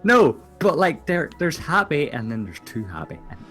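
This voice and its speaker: A man's voice: a little high, big pitch swings, moderate pace, a bit bright, faint music behind. A young man from Finland with a Finnish accent, co-hosting a casual chat, rambling and swearing between laughs. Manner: rambling, profane, laughs often. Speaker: podcast co-host.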